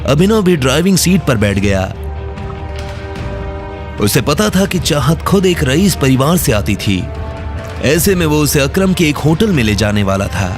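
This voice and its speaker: A man's voice: on the high side, very animated, a little slow, pauses often, over music. A man giving scripted, dramatic narration for an audio serial.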